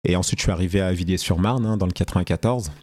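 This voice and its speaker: A man in his late thirties from France, telling his story as an interview guest in a relaxed, hesitant way with slang. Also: sits low, rapid-fire. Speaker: guest, fashion entrepreneur. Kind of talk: casual interview talk.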